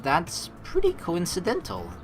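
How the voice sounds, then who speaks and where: A man's voice: sits high, wildly swooping pitch, a little slow, faint music behind. A man hosting a let's play, reading story text aloud and adding casual, rambling, slangy commentary with some swearing. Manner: rambling, slangy, exasperated asides, profane.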